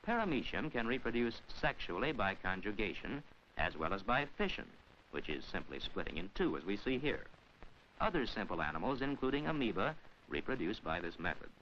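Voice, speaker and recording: Bright, animated male educational film narrator. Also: on the low side, brisk. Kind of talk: formal scripted documentary narration, mid-century educational film. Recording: dull, hissy 1940s film soundtrack.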